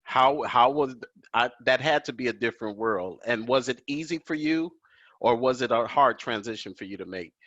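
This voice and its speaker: A man's voice: medium pitch, moderate pace, a bit bright, no room sound. A man hosting a home video-call interview, admiring and deferential, often answering "yes, ma'am". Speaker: talk show host. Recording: dull dry video call audio.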